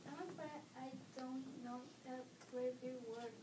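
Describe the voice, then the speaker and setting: A woman's voice: high voice, steady tone, normal speed, close to an everyday voice. A young female reader playing a vain, gullible rich lady crow, naive and fussy, in a readers theatre. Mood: naive delight. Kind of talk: readers theatre script reading. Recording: echoey room, far mic, loud noise.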